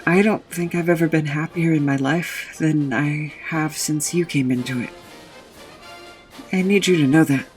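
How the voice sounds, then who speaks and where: A man's voice: a little high, normal speed, natural voice. An actor playing a paranoid, weary immortal goblin in an audio drama, hesitant and uneasy as he speaks and narrates. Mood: tender. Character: immortal male goblin, wary, paranoid, weary fugitive in 1937. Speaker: narrator and lead character. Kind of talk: audio drama acting with first-person narration. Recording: music under the voice.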